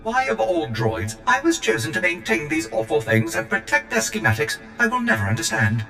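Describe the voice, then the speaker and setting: A man's voice: mid-range voice, sing-song, brisk, pushed and bright. A man voicing a fussy, put-upon protocol droid in a video game, prim and theatrically complaining. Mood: indignant. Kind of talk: video game cutscene acting. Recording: echoey hall, music behind.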